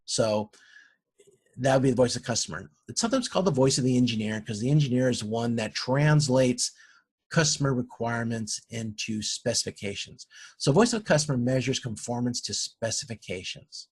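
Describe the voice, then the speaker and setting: A man lecturing informally on a technical subject, explaining step by step with examples and often rephrasing himself in a halting way. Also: medium pitch, lively intonation, breathy edge. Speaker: lecturer in operations management.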